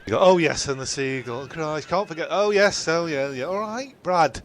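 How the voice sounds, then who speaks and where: A man playing a chatty, sweary castaway who talks haltingly and repeats himself in an amateur comedy drama. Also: fairly high pitch, a little hiss or hum, close to an everyday voice. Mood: exasperated. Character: sweary, chatty castaway bloke named John.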